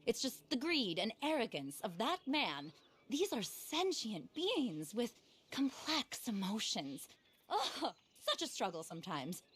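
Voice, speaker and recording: Eccentric animal-loving lady naturalist for a western game, swoopy expressive voice, earnest and easily exasperated, noisy ambience behind. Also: fairly high pitch, unhurried. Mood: bitter. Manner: theatrical, earnest, flighty, slightly scattered. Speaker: quest-giving wildlife specialist in a western game. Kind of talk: video game cutscene acting.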